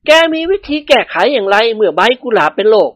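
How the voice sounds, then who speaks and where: A woman voicing a stern, easily riled older male nobleman who barks and shouts in bursts. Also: medium pitch, animated, quick pace, no room sound, pitched above the performer's own voice, lightly acted. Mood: gruff. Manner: gruff barking, shouting in bursts.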